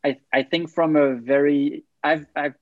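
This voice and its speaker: A man shares his background as an interview guest, pausing on fillers and restarting his sentences. The recording is dry and dull, with the muffled sound of lo-fi call audio. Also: a little high, fairly even intonation, no room sound. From France. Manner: thoughtful, hesitant with fillers and restarts. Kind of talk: casual personal storytelling in interview.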